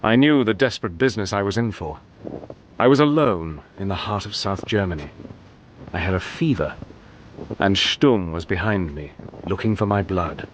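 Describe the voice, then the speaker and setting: A man's voice: deep voice, lively intonation, normal speed, slight background noise. A man giving earnest, reflective first-person narration for a radio drama.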